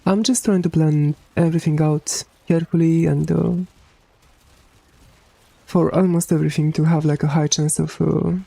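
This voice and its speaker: Androgynous voice w a romanian accent, low gravelly fry, kinda mellow, laid back swearing. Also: moderate pace, no room sound, low-quality recording. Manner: relaxed, swears casually, many fillers. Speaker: game streamer. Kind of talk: casual chat while streaming a game.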